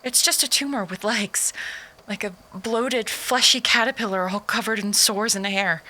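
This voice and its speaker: A woman playing a frightened survivor in an audio drama, haltingly recording a log of horrors, at times near a whisper. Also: mid-range voice, sharp tone, rough recording. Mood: disgusted, fearful.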